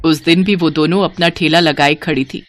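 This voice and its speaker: A woman's voice: low voice, fairly even intonation, moderate pace, noisy background. A woman narrating a scripted story as its storyteller.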